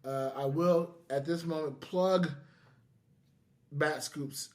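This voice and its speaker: A man's voice: fairly high pitch, fairly even intonation, slow pace, darkish tone. A man co-hosting a casual podcast chat, talking in an enthusiastic, effusive way. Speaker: podcast co-host.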